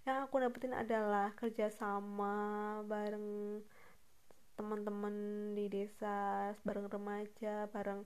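A woman's voice: mid-range voice, little pitch movement, smooth. A young woman hosting a spontaneous solo podcast, telling a rambling, chatty story and often correcting herself. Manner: fast-talking. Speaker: podcast host.

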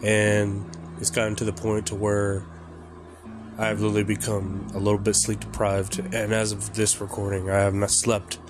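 A man hosting a casual podcast, stuffy and congested and exhausted from lack of sleep, talking in a rambling, halting way with fillers. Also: fairly low pitch, monotone, noisy background. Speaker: podcast host. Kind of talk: casual song reaction chat. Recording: music playing loudly behind him. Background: rock music.

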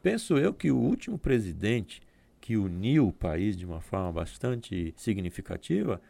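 A man speaking as a guest on a discussion program, explaining in a measured way with frequent restarts. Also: lowish voice, sing-song, creaky, warm tone, dry recording.

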